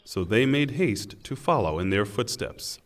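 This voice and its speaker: A man reading a scripture translation in a solemn, measured and deliberate way. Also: lowish voice, animated. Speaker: translation reader. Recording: long hall reverb.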